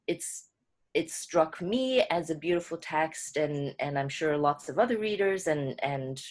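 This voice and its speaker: A woman, a co-translator, explaining her work at an online book club in a thoughtful, halting way with many restarts. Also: deep voice, a little mellow. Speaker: co-translator speaking as a guest.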